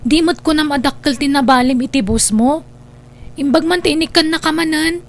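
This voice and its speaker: Woman acting high-voiced young Ilocano student sister. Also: normal speed, gravelly. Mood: grateful, coaxing. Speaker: voice actor. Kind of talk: Ilocano radio-style drama.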